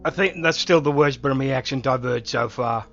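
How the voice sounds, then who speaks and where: A man's voice: mid-range voice, moderate pace, gravelly, a bit bright, lo-fi sound, soft background music. A male streamer giving live gameplay commentary in wry, blunt asides.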